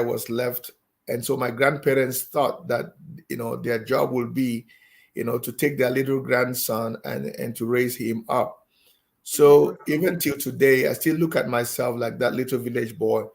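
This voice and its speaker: A middle-aged man from Cameroon speaks as an interview guest, his voice gravelly with a mid-range pitch. He recounts his past in a reflective way, pausing often with ums and uhs. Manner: hesitant, many ums and uhs. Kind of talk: interview storytelling.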